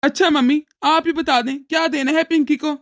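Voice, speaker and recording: Woman playing a high-voiced, exasperated cartoon son. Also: fairly even intonation, a little fast, dry recording, clean studio sound, natural voice. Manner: protesting, reasoning. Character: cash-strapped adult son, exasperated, pleading with his mother. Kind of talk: animated family drama acting.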